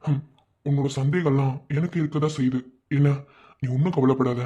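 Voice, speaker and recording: A man's voice: medium pitch, fairly even intonation, a little fast, mellow, dull audio, lightly acted. A man playing a concerned young husband and father in a family melodrama, chiding yet reassuring. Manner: chiding, then soothing. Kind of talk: melodramatic family serial acting.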